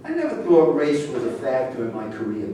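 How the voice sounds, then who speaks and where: A man's voice: medium pitch, wildly swooping pitch, brisk, warm tone. A man in a staged reading, playing a touchy, defensive sports star. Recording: very echoey room, distant mic, faint noise.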